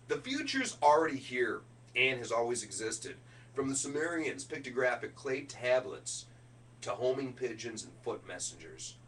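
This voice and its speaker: Middle-aged man, bright forceful voice, keynote rehearsal, emphatic with casual asides, distant mic, faint hum. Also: on the low side. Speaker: keynote speaker rehearsing a speech.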